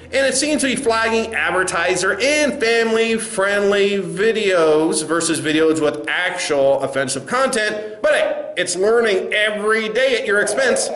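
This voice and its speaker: A man's voice: sits high, expressive, a little slow, hardly any pauses, a bit bright. A man playing a smug, dismissive corporate spokesman in a comedy sketch, stammering through condescending doublespeak. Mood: smug, evasive. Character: smug, dismissive male corporate spokesman facing angry creators. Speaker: spokesman at a press address. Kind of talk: satirical comedy sketch speech. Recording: roomy echo with faint music.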